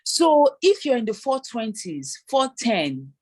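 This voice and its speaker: A woman's voice: on the high side, big pitch swings, slow, darkish tone. Woman immigration consultant explaining advice. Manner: explanatory, voices hypothetical applicants.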